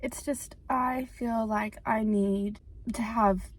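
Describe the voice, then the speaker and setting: A woman's voice: fairly high pitch, steady tone, slow. A woman of about twenty talking casually and confessionally as a vlogger, with a dramatic, rambling, self-deprecating manner. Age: about 19, nearly 20. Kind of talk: casual confessional chat to camera.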